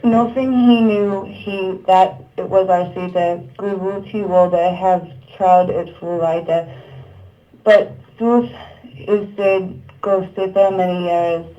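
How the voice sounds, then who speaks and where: A woman narrating a puppet show, reciting old verse in a measured, declamatory manner. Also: medium pitch, fairly even intonation, warm tone, lo-fi sound.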